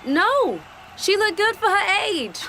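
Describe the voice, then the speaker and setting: Woman acting a high-voiced, restrained wife. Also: very animated, slow, bright, forceful tone, slight background noise, full character voice. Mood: distracted. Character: anxious, polite wife and mother of pilots. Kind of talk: stage-play dialogue for radio.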